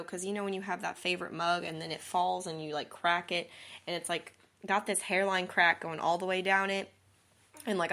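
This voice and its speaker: A woman's voice: lowish voice, a bit bright. A young woman from Missouri with a southern accent, chatting casually and rambling with lots of fillers as she hosts her own podcast. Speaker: podcast host. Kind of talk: casual chat.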